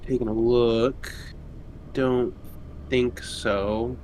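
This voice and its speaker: A man's voice: medium pitch, steady tone, drawn-out words, darkish tone. A man chatting casually and informally as a player in a tabletop roleplaying game. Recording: clearly noisy background.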